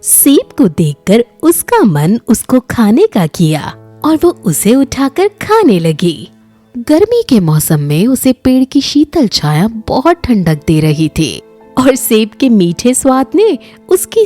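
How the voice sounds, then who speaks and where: Very animated, slow-paced woman storyteller. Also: fairly high pitch, faint music behind. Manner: expressive, dramatic storytelling. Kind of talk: scripted children's story narration.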